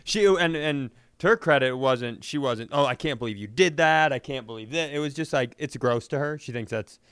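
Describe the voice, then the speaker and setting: A man co-hosting a comedy podcast in casual chat, talking in a halting, self-deprecating way. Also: medium pitch, fairly bright tone, clean tone, no room sound, clean studio sound. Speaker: podcast co-host.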